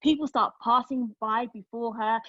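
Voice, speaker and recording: A woman acting a dramatic monologue as a wounded, reflective woman, telling her story intimately with pauses and emphasis. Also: a little high, fairly even intonation, a bit bright, dry recording, muffled recording. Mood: excited, absorbed. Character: wounded, reflective woman recounting lost love and travel. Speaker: actor in a play table read.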